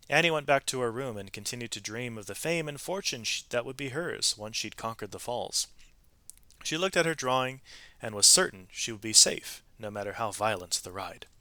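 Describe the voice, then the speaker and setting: Bright-voiced man reading a storybook aloud. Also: medium pitch, no echo. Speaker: read-aloud narrator, teacher reading to students. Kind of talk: expressive storybook read-aloud with brief asides to listeners.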